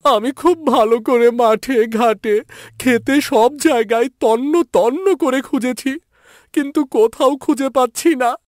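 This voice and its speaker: A man puts on a distraught villager from a cartoon fairy tale, begging for help. The voice is strained up very high. The words come slowly and pleadingly. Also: a little mellow, no room sound, studio quality. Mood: desperate, pleading.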